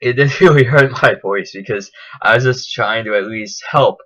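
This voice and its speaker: A man telling a casual, enthusiastic vlog recap in a chatty, animated way. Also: mid-range voice, normal speed, dull audio. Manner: animated, chatty, acts out quotes in raised voices. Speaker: vlogger.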